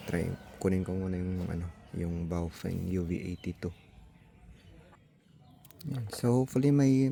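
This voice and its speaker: Low, creaky Filipino man, unhurried. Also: noisy background. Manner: tentative, mixes English technical words into his talk. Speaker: vlogger. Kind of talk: casual hands-on unboxing and gadget tutorial, thinking aloud.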